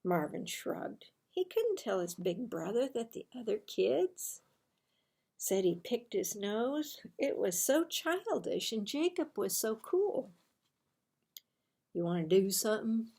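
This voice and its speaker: Lady reading a kids book out loud, takes her time, pretty expressive storyteller. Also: fairly high pitch, vocal fry, husky. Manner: deliberate, expressive storytelling with character voices. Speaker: teacher reading aloud. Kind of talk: children's storybook read-aloud.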